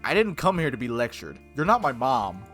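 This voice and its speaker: Man playing a bewildered young man, very animated. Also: medium pitch, a little fast, soft background music. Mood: defensive. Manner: confused, indignant, questioning. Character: bewildered, defensive jobless young man, game protagonist.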